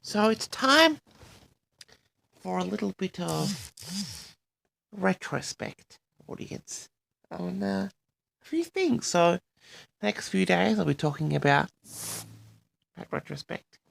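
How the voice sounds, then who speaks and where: Very gravelly boastful older man. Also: high-pitched, sing-song, a bit bright. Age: 60s. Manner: boastful, halting, often addresses the audience. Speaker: host of an audio log. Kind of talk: rambling personal reminiscence to listeners.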